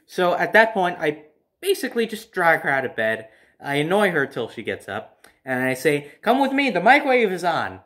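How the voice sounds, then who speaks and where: A young man tells a story from his own life in a casual way. His voice sits a little high for a man, and its pitch moves up and down a great deal as he goes. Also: sing-song, moderate pace, a bit bright. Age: young adult. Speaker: storyteller, vlogger. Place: living room at home, by a window.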